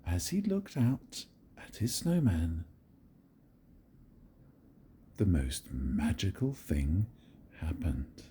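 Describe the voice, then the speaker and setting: An older man reading a picture book aloud to his grandchildren in a gentle, very expressive storytelling manner. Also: low voice, slow, slight background noise.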